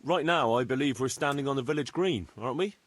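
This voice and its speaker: A man's voice: medium pitch, fast, dry recording, soft background music, close to an everyday voice. An actor playing a young medieval man in a video game, plain-spoken, dutiful and respectful to his betters. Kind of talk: video game cutscene acting.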